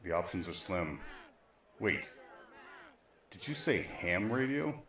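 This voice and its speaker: A man's voice: low-pitched, very animated, takes it slowly, fairly bright tone, heavily acted. A man playing a grave, weary survivor leader in an apocalyptic audio drama, speaking in a terse, solemn, dramatic way. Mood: intent. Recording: roomy echo, faint dramatic music behind.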